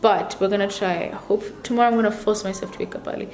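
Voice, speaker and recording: A young woman with a slightly deep voice, chatting casually as a student vlogger into a handheld camera or phone. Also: a little hiss or hum. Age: young adult. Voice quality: deeper voice for a woman. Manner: chatty, relaxed, drawn-out fillers. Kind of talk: casual vlog chat. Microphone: camera or phone held by herself.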